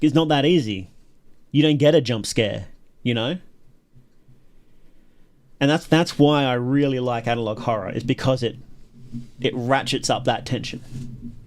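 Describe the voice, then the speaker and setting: A man's voice: mid-range voice, measured pace, pauses often. Enthusiastic man reacting on a livestream. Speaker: livestream host reacting to videos. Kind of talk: casual live reaction commentary.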